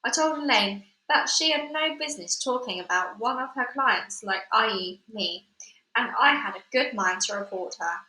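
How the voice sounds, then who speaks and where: A woman reads a children's book aloud with lively expression and chatty asides. Her voice is bright and sits a little high. The sound is echoey, as if recorded in a bare room. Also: moderate pace. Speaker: storybook reader.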